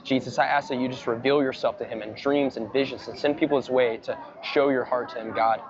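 A young American man preaches casually and earnestly on the street, talking quickly. His voice is a little dulled by the recording, with clear crowd noise around him outdoors. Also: medium pitch, steady tone. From Georgia, United States. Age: early twenties. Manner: earnest, informal. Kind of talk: casual street evangelism and spontaneous prayer. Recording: muffled outdoor clip with busy crowd. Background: crowd chatter.

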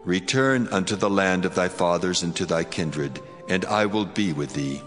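Divine being: solemn, commanding, deep, long reverb, soft music. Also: male voice, normal speed.

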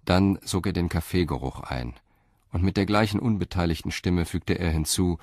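A man narrating a radio crime play in a calm, measured storytelling manner. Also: low voice, dry recording, studio quality. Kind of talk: scripted audio drama narration.

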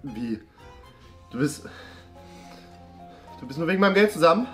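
A man's voice: fairly high pitch, expressive, normal speed. A man, improvising a comic role-play in a theatrical, repetitive, exaggerated way. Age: 20s. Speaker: performer in an improvised date sketch. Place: indoor studio set at a dining table. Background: background music.

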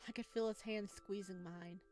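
A woman's voice: mid-range voice, steady tone, normal speed, dark tone, soft background music. A woman reading visual novel narration aloud expressively, as a gaming video host.

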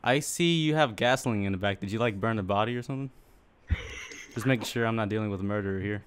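A man on a casual prank phone call, asking questions in a deadpan way as the caller. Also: on the low side, fast, clear, resonant tone. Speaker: prank caller, gaming channel host.

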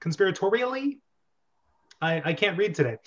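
A man's voice: high-pitched, lively intonation, normal speed, background noise. A man hosting and casually directing an amateur reading over a video call. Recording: dull video-call audio.

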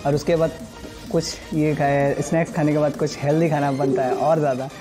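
A man's voice: a little high, little pitch movement, brisk. Chatty Bihari man reviewing food, music behind. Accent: Bihari Hindi. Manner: chatty, enthusiastic, lots of fillers. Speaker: food vlogger. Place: restaurant indoors. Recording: loud background music.

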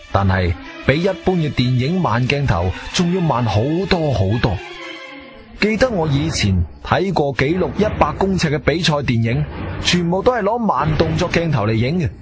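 An actor playing an observant male adventurer who narrates his strange experience in a radio drama, suspenseful and deliberate, with a Guangzhou Cantonese accent. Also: on the high side. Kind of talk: dramatic first-person story narration.

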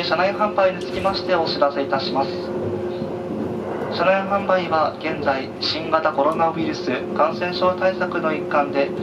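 A man working as a train conductor, making a formal, very polite announcement to passengers over the onboard speakers. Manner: formal, very polite, measured station-by-station delivery. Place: inside a train carriage, heard over the speakers. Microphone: announcement over PA, captured by a handheld camera. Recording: muffled train PA, distant, loud carriage noise. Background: loud train rumble and ambience.

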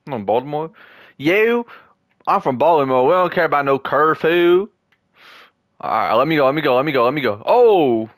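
A man's voice: a little high, lively intonation, moderate pace, no echo, studio quality. A young man in casual gaming chat with a friend, joking and trash-talking and often repeating his words. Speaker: gamer.

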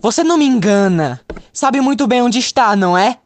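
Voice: mid-range voice, lively intonation, fairly quick, no echo, lightly acted. An actor playing a sneering, vengeful ex-husband who taunts and threatens in a radio soap opera. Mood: menacing, taunting. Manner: taunting, mocking, shouting threats. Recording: music behind the voice.

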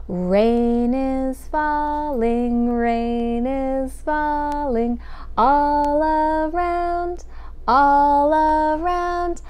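A woman, hosting a storytime for young children in simple, expressive phrases. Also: a little high. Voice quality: clear, smooth. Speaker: children's storytime host at a public library. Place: small home room with bookshelves, indoors. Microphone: clip-on lapel mic.

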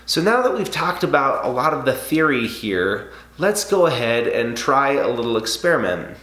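A man's voice: mid-range voice, sing-song, moderate pace, fairly bright tone. A man in his thirties explaining welding in a casual, conversational way, with frequent fillers, seated in a home garage workshop. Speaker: welding instructor. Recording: roomy garage echo.

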